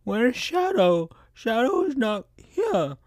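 A toothless young hedgehog girl speaks in a high, sad, mumbling voice; a man acts her out. Her words come out lively and slurred, and the recording is dry and close. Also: slow pace, dry recording, exaggerated voice. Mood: worried.

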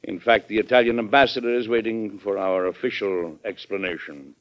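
An actor playing a firm, measured American president in a classic radio drama, speaking with formal, deliberate courtesy. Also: male voice, lowish voice, steady tone, natural voice. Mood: courteous, grave. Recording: dull old radio broadcast recording.

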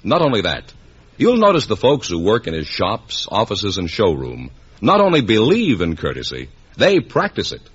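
A man's voice: lowish voice, wildly swooping pitch, moderate pace, husky. An American man reading a scripted 1950s radio advertisement. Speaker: commercial announcer. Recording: muffled old radio recording.

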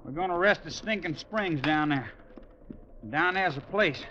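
An actor playing a young, brash Old West outlaw leader in an old-time radio western, talking in clipped cowboy phrases. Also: male voice, fairly high pitch, measured pace, bright, forceful tone, a little hiss or hum. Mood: tense, challenging. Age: young adult. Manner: clipped, terse cowboy talk. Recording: muffled old radio recording.

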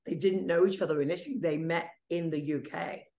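A middle-aged British woman with a slightly low voice tells stories as a podcast guest. Her tone is chatty and easy, with light joking asides. She grew up speaking Italian at home before she learned English. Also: steady tone, brisk, creaky, dark tone. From England. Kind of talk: casual interview chat.

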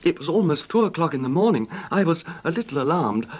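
An actor playing an ailing, betrayed husband who recounts his grievance in halting, formal radio drama style. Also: on the high side, big pitch swings, husky. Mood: pained, brooding. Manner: halting, formal, genteel delivery. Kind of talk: old-time radio drama acting, narrated recollection. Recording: noisy old radio drama recording.

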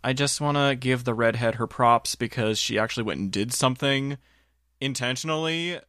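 A man's voice: mid-range voice, moderate pace, fairly bright tone, dry recording. Dry-recorded man, crude sarcastic podcast talk. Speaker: podcast panelist. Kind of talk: casual film discussion.

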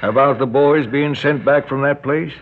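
A man's voice: medium pitch, fairly even intonation, moderate pace, slight background noise, close to an everyday voice. An actor playing a menacing, folksy rural American father turned kidnapper in a radio drama, drawling with mock friendliness. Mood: taunting. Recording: dull lo-fi radio recording.

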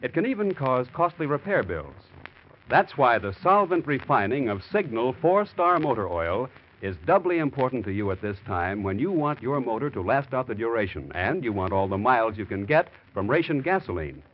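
A man announcing on 1940s radio, delivering a polished, emphatic sponsor pitch. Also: sing-song, normal speed, slight background noise. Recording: muffled 1940s radio recording.

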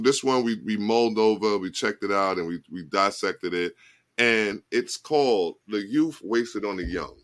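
A man's voice: fairly low pitch, a bit bright. A man in his forties hosting a casual chat show, talking loosely and often checking in with "you know what I mean". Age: 40s. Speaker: podcast host.

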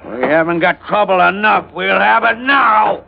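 Creaky, drunken, paranoid husband. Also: male voice, sits high, measured pace, exaggerated voice. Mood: hostile, slurred. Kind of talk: 1940s radio acting. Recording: noisy, muffled old radio recording.

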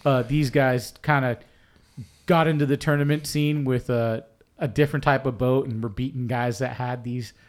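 A man's voice: medium pitch, steady tone, moderate pace. A man hosting a fishing podcast, chatting loosely with jokes, fillers and swearing. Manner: loose, joking and cursing, with many fillers. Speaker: podcast host. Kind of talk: casual podcast chat.

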